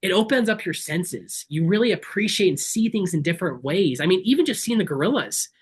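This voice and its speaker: A man's voice: high-pitched, expressive, fairly quick, breathy edge. Male tour operator from Kenya, passionate and emphatic, informal online presentation style. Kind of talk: informal webinar sales pitch. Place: online video call.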